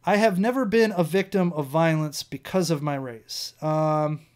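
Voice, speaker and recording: A man's voice: a little high, normal speed, darkish tone. A young man with a Midwestern American accent who talks casually and rambles. He keeps correcting himself, swears, and laughs at his own mistakes. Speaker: vlog show host. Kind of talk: casual solo commentary, reading quiz items aloud.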